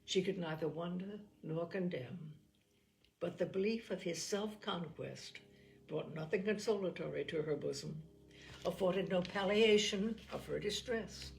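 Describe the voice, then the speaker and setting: A woman reading literary fiction as an audiobook narrator, with measured, dramatic phrasing and expressive delivery. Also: lowish voice, creaky, low-quality recording.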